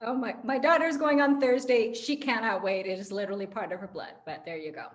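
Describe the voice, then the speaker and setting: A woman's voice: on the high side, brisk. A woman with an American accent hosting an online panel and introducing guests in a theatrical, emphatic way. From Los Angeles, United States. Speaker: moderator of a panel event. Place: online video call.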